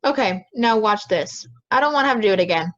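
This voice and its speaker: A woman's voice: mid-range voice, lively intonation, quick pace, a bit bright, lightly acted. A woman playing a stubborn, impatient juror, curt and dismissive, in an amateur audio drama recorded lo-fi.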